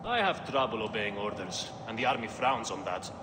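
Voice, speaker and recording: A man's voice: on the low side, sing-song, normal speed. A man voicing a wry, affable soldier turned gladiator in a video game, joking and frank. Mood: wry, friendly. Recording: echoey hall with background noise.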